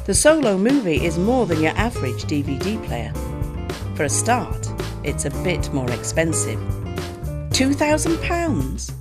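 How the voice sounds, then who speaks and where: A woman giving scripted documentary narration in a clear, measured way. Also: lowish voice, very animated. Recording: voice-over with background music.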